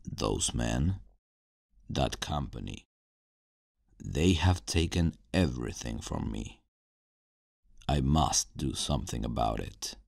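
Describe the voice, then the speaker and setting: Brooding supervillain scientist for ASMR roleplay, played by a man: extremely low gravelly voice, slow deliberate delivery, menacing yet regretful. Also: expressive, a little mellow, no echo, studio quality, exaggerated voice. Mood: calm, regretful. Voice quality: very deep, gravelly. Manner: slow, deliberate, sighing, dramatic pauses. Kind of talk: ASMR roleplay, dramatic acting.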